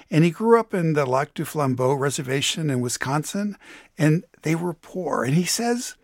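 A man's voice: mid-range voice, dry recording, clean studio sound. An American man giving a conversational radio report as a contributor, telling a story with emphasis. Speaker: economics contributor.

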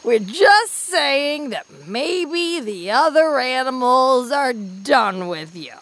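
An actress playing a sly, smug little gossiping clam in a children's audio folktale, snide and mock-innocent. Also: female voice, high-pitched, sing-song, slow pace, a bit bright, exaggerated voice.

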